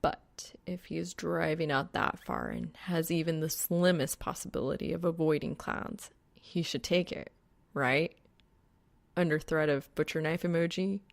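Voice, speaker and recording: Low, creaky-voiced woman narrator. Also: steady tone, measured pace, dry recording. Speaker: audiobook narrator. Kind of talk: scripted fan fiction narration.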